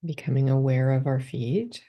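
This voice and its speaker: A woman leading a guided body scan meditation, giving calm, gentle step-by-step instructions. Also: rumbling low, normal speed.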